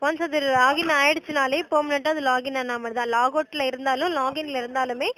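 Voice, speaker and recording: A woman's voice: sits high, fairly even intonation, fairly quick, a bit bright, low-quality recording. A woman with a Tamil accent working as a customer service agent, politely interpreting on a phone support call and a bit hesitant in English.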